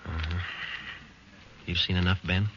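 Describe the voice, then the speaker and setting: A man's voice: sits low, flat delivery, measured pace, background noise. A man acting a terse, businesslike police sergeant who narrates in a clipped, matter-of-fact 1950s radio drama style. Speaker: narrator and lead detective. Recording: muffled old radio broadcast with music.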